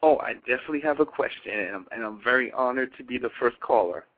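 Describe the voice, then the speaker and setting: A man's voice: mid-range voice, fast talker. A man calling in to a radio show, asking questions in a deferential, rather formal and earnest way. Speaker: radio phone-in caller. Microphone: telephone. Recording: tinny phone line.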